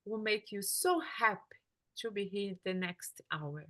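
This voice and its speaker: A woman's voice: medium pitch, measured pace, sharp tone. A Brazilian woman with a Portuguese accent, speaking English as a second language and searching for words as she leads a workshop meeting. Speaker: workshop facilitator. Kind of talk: online group meeting talk.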